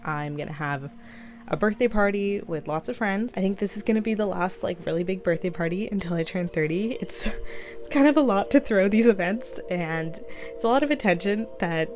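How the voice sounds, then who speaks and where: A woman in her twenties talking casually to camera in a rambling vlog, filling her speech with "like". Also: medium pitch. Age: 20s. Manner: chatty, fills speech with "like", rambling. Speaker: vlogger. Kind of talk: casual reflective vlog monologue.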